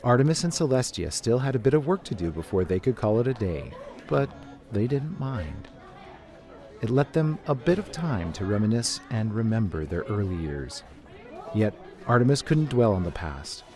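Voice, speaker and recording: A man's voice: fairly low pitch, big pitch swings, moderate pace, warm tone. A man reading scripted story narration as the narrator of an audio drama. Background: music.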